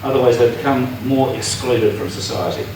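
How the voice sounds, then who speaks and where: A man's voice: mid-range voice, moderate pace, darkish tone. A New man from the audience puts a pointed question to candidates, reading from his notes. He is heard from a distance in a big, echoing hall with faint noise. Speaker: audience member asking a question. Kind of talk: public forum question. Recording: echoey hall from far off.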